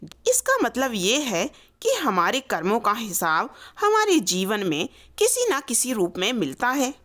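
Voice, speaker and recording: A woman's voice: fairly high pitch, huge pitch swings, a little slow, fairly bright tone, heavily acted. A woman voicing an earnest, curious young boy asking questions in a storybook tale.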